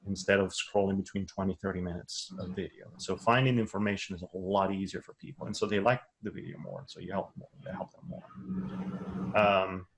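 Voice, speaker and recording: Man, non-native English speaker, low voice, webinar instructor, conversational, restarts and repeats. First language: not English. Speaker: course instructor. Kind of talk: webinar lecture.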